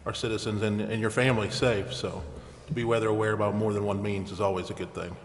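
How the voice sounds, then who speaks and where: A man's voice: fairly low pitch, fairly even intonation, quick pace. A man chairing a city council meeting, moving through formal procedure with some informal remarks. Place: large indoor council chamber. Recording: echoey council chamber with noise.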